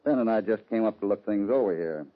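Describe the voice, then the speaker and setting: A man playing a shrewd, calm, deliberate cowboy hero in an old radio western. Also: fairly low pitch, natural voice. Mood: calm suspicion. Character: shrewd, steady cowboy hero, unhurried and suspicious. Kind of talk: 1940s-50s radio western acting. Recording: muffled old-time radio recording.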